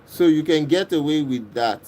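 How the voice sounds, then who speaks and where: A man from Kenya hosting a livestream, reading and answering viewers' comments in a casual, unhurried way and often repeating himself. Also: a little high, a little mellow, slight background noise, low-quality recording. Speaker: livestream host reading chat. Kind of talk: casual live chat.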